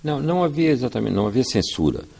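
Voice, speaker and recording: An older man from Brazil, a writer and filmmaker, giving measured, storytelling answers in an interview and often tagging his sentences with "né". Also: mid-range voice, sing-song, gravelly, a little mellow, husky.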